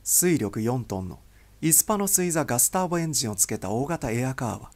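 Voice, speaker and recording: A man's voice: mid-range voice, very animated, fast talker. A man reading a novel aloud as a scripted, dramatic audiobook narration.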